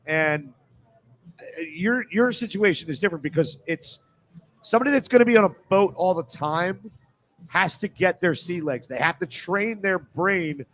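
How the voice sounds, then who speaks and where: Middle-aged male sports radio panelist, slightly high voice, conversational. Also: normal speed, a bit bright. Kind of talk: casual sports talk. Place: live radio show at a casino.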